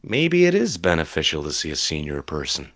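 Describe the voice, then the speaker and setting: A man's voice: extremely low pitch, wildly swooping pitch, a little fast, fairly bright tone, no room sound, voice pushed down, heavily acted. A man playing an adventurer narrating a magical audio drama tale in a put-on American accent. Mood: storytelling. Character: wizard's companion narrating their dog transformation in magic audio drama.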